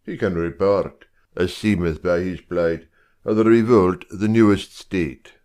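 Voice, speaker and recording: A man playing a stately, commanding Scottish king in measured style for a Shakespeare audiobook drama. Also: sits low, fairly even intonation, a little mellow, clean tone. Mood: regal, commanding.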